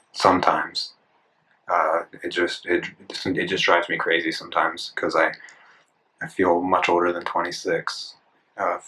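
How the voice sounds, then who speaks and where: A man in his twenties talks as he sketches, his voice low but bright and forward. He rambles, restarts and corrects himself as he works through his thoughts. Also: low-quality recording. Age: 20s. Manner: rambling, self-correcting, thinking aloud. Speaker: art channel host. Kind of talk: casual talk while drawing. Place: indoors at a desk, drawing in a sketchbook.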